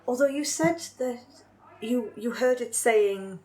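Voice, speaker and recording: A woman's voice: on the high side, slow, darkish tone. A woman playing a curious, forthright winged forest-dweller in improvised roleplay, questioning and matter-of-fact. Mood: inquisitive.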